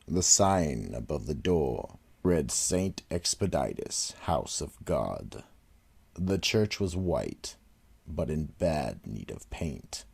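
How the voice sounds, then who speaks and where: A man narrating a radio drama in a slow, dramatic way. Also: extremely low pitch, creaky, darkish tone, husky.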